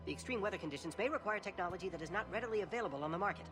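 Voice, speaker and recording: Fast, high-pitched earnest alien. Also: male voice, animated, music in the background. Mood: worried. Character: earnest, family-minded, quick-talking male alien. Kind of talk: video game acting.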